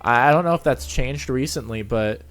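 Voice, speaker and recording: Medium-pitched male host chatting casually. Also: normal speed, a bit bright. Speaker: show host, interviewer. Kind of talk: casual interview chat. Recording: music playing behind the voice. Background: music.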